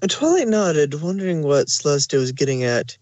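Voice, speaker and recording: A man's voice: on the high side, very animated, normal speed, a little mellow, no room sound, lo-fi sound. A man narrating a scripted story as its narrator.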